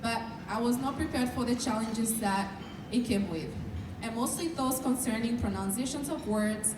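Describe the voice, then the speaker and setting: Young Kenyan woman, student speaker, measured and earnest; distant mic in a noisy, echoey hall. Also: a little high. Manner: measured, earnest, sometimes shy. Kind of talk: prepared speech at a dinner. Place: large event hall.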